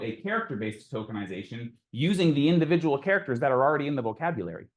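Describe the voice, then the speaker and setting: A man giving an informal academic lecture, explaining in a conversational way and thinking aloud as he takes questions. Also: medium pitch. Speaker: lecturer presenting a technical talk.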